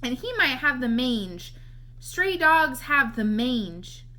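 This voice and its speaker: Woman as an earnest little boy, high and bright. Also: big pitch swings, takes it slowly, heavily acted. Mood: matter-of-fact. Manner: folksy, matter-of-fact advice-giving. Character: earnest, nosy little red-headed boy, kind and persistent.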